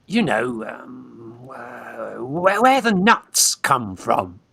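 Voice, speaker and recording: An actor playing a scheming young Victorian gentleman in a radio farce, urgent, hesitant and bossy. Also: medium pitch, expressive, drawn-out words, gravelly, slightly rough, heavily acted. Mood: anxious.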